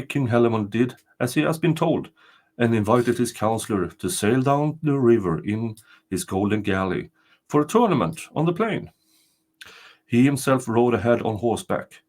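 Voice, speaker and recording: Older guy reading an old folktale out loud, storyteller style, mid pitched voice. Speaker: storyteller, narrator. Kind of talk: scripted folktale narration, read aloud.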